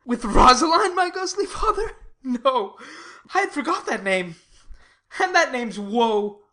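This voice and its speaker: A woman's voice: high voice, big pitch swings, a little slow, sharp tone, heavily acted. A woman playing an eager, lovestruck young man in a classical verse drama, speaking with ardent, earnest expression. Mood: dismissive, light.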